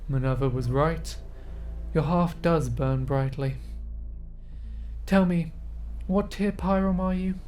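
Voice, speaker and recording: A woman playing a coldly authoritative senior oracle in a fantasy audio drama, formal, measured and quietly menacing. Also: sits low, faint music behind.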